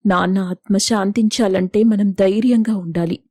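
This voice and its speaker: A woman's voice: medium pitch, steady tone, moderate pace, warm tone, no echo, clean studio sound, close to an everyday voice. A woman playing a self-sacrificing young elder sister in an audio story, hurt, proud and earnest. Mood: bitter sorrow. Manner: earnest, emotional. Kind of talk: acted dialogue in an audio story.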